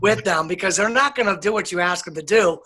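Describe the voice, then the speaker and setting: A man speaking as an interview guest, calm and earnest, laying down his points firmly. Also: sits high, fast, a little hiss or hum. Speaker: guest, author and educator. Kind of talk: casual interview chat.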